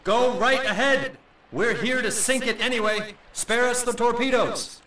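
An actor playing a brusque, officious American admiral in a comedy audio drama. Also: male voice, very high-pitched, unhurried, recorded from a distance, crowd noise, poor audio.

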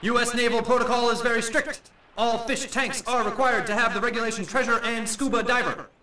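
An actor playing a brusque, officious American admiral in a comedy audio drama. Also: male voice, extremely high pitch, fairly even intonation, fairly quick, recorded from a distance, crowd noise, low-quality recording.

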